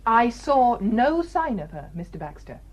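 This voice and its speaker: Village woman doctor, defensive, brisk, husky, lively intonation, radio drama. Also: fairly high pitch. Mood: defiant. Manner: clipped, guarded. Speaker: actor.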